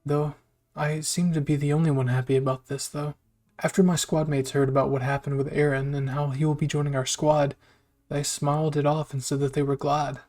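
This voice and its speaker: Male story narrator, slightly high voice, reading scripted fan fiction. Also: little pitch movement, brisk, darkish tone.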